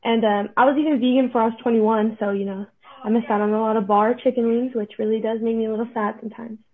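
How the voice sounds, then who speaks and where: A shy, self-deprecating young woman in her late twenties from Florida, a guest chatting casually on a podcast in halting speech. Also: on the high side, steady tone. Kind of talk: casual chat. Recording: tinny phone-like line.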